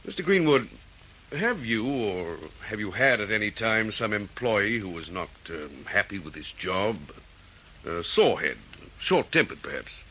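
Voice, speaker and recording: An actor playing a methodical, questioning police detective in an Australian radio drama, with an Australian accent. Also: male voice, on the low side, very animated, pushed and bright, slight background noise, heavily acted, rough recording. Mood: probing. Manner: deliberate, questioning.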